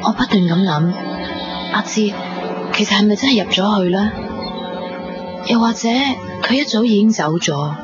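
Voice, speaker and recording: A young actress playing a lovelorn woman, narrating her own story softly and hesitantly in a radio drama. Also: mid-range voice, moderate pace, pauses often, natural voice. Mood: wistful, brooding. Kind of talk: intimate first-person radio drama narration. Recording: dull lo-fi radio recording under loud music.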